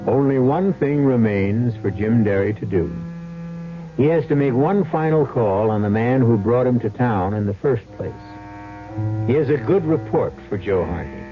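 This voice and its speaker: A man's voice: medium pitch, animated, moderate pace. A man narrating a radio mystery drama in a scripted, dramatic storytelling style. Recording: muffled vintage radio broadcast. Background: music.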